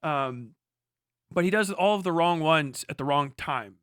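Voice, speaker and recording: A man's voice: a little high, expressive, a little slow, fairly bright tone, clean tone, no room sound, clean studio sound. A man hosting a casual livestream, giving blunt, profane, slangy political commentary and talking back to chat. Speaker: livestream host.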